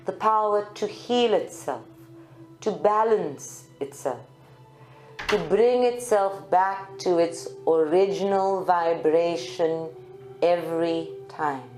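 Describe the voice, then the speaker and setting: Female meditation guide, very slow and deliberate, recorded live in a roomy space with faint music. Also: lowish voice, a little mellow. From South Africa. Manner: slow, deliberate phrases with long pauses. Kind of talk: guided meditation, spiritual channeling. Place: group meditation room at a centre.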